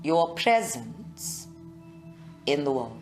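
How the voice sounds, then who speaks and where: Woman leading a guided meditation, really slow and soothing, lowish voice, faint music behind. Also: sing-song. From South Africa. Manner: slow, measured, soothing delivery with long pauses. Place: group meditation session at a centre, recorded live.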